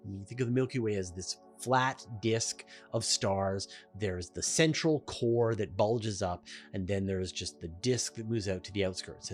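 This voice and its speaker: A man's voice: mid-range voice, darkish tone. A man hosting a question-and-answer show, explaining things in an emphatic, informal, conversational way. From Canada.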